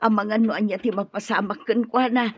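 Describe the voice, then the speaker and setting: A woman's voice: mid-range voice, steady tone, fairly quick, lightly acted. A woman playing an anxious, solicitous housemaid in a radio drama, speaking with an Ilocano accent. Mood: worried. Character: fussing, anxious housemaid tending to her madam. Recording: dull lo-fi radio sound. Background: music.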